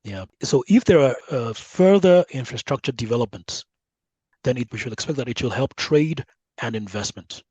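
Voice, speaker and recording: Nigerian guy being interviewed, explains stuff conversationally, husky voice, really dry close sound. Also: mid-range voice, lively intonation, moderate pace, darkish tone, no echo, low-quality recording. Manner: conversational, often checks with "right?" and "you know". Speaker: guest researcher. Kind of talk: podcast interview, explaining with analogies.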